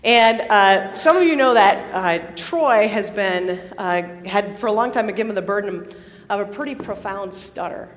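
A woman addressing a student worship gathering in a large hall, telling a story with pauses and fillers. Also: medium pitch. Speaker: campus pastor. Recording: long reverb in a large hall.

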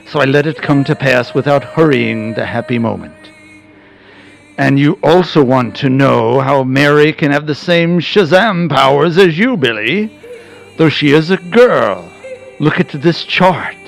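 A wise, fatherly old wizard voiced by a man speaks with a gravelly edge. His solemn lines are measured and rise and fall widely, as in a radio play of a comic book. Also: medium pitch. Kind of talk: amateur radio theatre reading of a comic book.

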